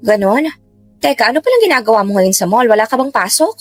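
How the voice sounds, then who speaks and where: A woman's voice: on the high side, sing-song, quick pace, no echo, faint music behind. A woman playing a teasing middle-aged mother with a Filipino accent, in colloquial radio drama style. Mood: casual, probing. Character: middle-aged mother, teasing, secretly rivaling her daughter.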